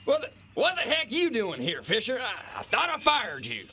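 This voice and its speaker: A man's voice: very high voice, wildly swooping pitch, moderate pace, noisy background, heavily acted. A man playing a bombastic, corrupt kingpin boss in an audio drama, blustering, mocking and condescending. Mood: indignant, bossy. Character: bullying, corrupt alpha-male kingpin boss, bombastic and scornful. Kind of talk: theatrical radio-play acting.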